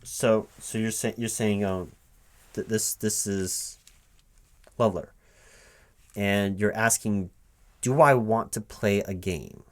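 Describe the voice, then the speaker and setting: Hesitant, low-voiced man in a roleplay. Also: fairly even intonation, slow pace, pauses often. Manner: hesitant, stammering, repeating words. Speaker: roleplay performer as a recruiting manager. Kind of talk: one-sided interview roleplay, soft-spoken ASMR at normal voice.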